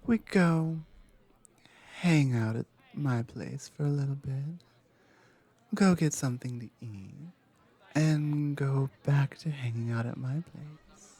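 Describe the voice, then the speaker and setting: A confident, flirtatious former classmate, acted by a woman in an intimate ASMR roleplay. The voice is warm and dark, with a soft, breathy edge. The delivery is slow and halting, coaxing and teasing the listener. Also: rumbling low, dry recording, full character voice. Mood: seductive, assured. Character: confident, flirtatious female former classmate confessing long-held desire.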